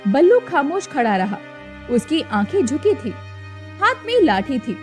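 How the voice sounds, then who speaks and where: A woman's voice: high-pitched, big pitch swings, measured pace, faint music behind. A woman narrating a scripted story in a dramatic storytelling style.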